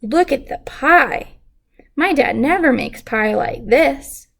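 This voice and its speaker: Woman reading as a curious, high-voiced neighbor boy. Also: expressive, slow, gravelly, fairly bright tone, full character voice. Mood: amazed. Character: friendly neighbor boy, curious and easily impressed.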